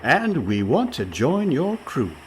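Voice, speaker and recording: An actor playing a breezy, overconfident schemer in a comic radio play, glib and mock-genteel. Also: male voice, medium pitch, wildly swooping pitch, takes it slowly, slight background noise. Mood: confident bluffing. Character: smooth-talking, scheming con man, male, breezy and overconfident.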